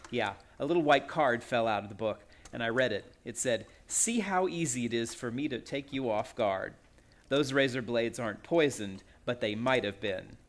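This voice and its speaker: A man's voice: medium pitch, moderate pace, lightly acted. An actor as a tense, haunted young man. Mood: haunted, bitter. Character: proud but nerve-racked young man, a tormented stepson. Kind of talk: suspense radio play acting.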